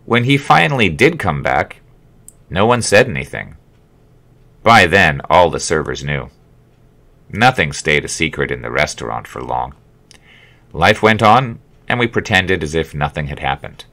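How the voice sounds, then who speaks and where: A man narrating his own memoir as an audiobook, with expressive, wry storytelling. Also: a bass voice, unhurried, creaky, long pauses. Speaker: author reading own memoir.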